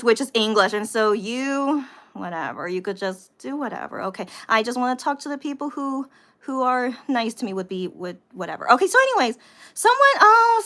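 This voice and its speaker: An American woman hosting a casual livestream, rambling to viewers and repeating herself with animated emphasis, sounding somewhat tired. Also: high voice. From United States. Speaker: livestream host, vlogger.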